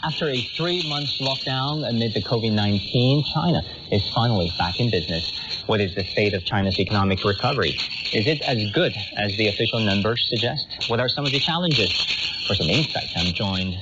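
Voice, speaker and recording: A man's voice: fairly low pitch, expressive, a little fast. A man hosting a current affairs show, presenting in a scripted, questioning style. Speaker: talk show host. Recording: muffled shortwave radio with loud static noise.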